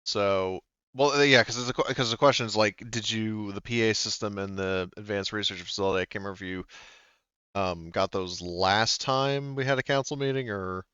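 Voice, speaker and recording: A man's voice: on the low side, moderate pace, bright, forceful tone, clear, resonant tone, no room sound, dull audio. A man running a tabletop game session as game master, thinking aloud and stopping often to check the rules. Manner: hesitant, thinks aloud with many fillers.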